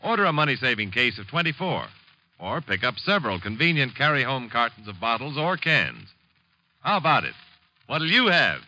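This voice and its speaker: A man's voice: medium pitch, big pitch swings, normal speed, rough recording. A man announcing on 1950s radio with an emphatic, polished sales delivery. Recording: old radio broadcast, faint noise.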